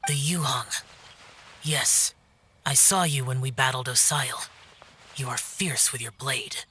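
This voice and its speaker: A man's voice: medium pitch, slow, sharp tone, a little hiss or hum. A man voicing a terse, aloof young guardian who slays demons, in a game cutscene. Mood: cold. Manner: terse, grave, distant. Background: game music.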